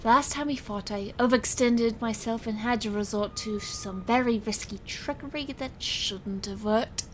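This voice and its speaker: A woman's voice: a little high, normal speed, a little hiss or hum, natural voice. A woman playing a witty, defiant young stage magician, sarcastic and theatrical, narrating and acting in an audio drama. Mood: tense narration.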